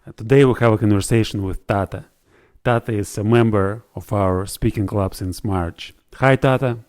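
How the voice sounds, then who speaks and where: A man's voice: fairly low pitch, steady tone, moderate pace, darkish tone. A man hosting a podcast interview, asking short questions and answering with frequent mm-hmms. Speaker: podcast host. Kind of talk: casual interview.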